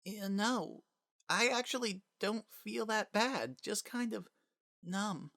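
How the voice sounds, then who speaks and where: Small monster boy, played by a man: high-pitched, fragile, sorrowful and pleading, for a dramatic audiobook-style fantasy story. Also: slow pace, creaky, bright, forceful tone, no room sound, clean studio sound, full character voice. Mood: numb, weary. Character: frightened young monster boy, gentle and sorrowful.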